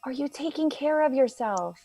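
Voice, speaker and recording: A middle-aged mother in a radio drama, fretting and chatty. Her voice sits fairly high with a clear creak in it, recorded dry and close. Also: lively intonation, moderate pace, warm tone, dry recording. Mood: fussing. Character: fussing, caring mother of a grown daughter.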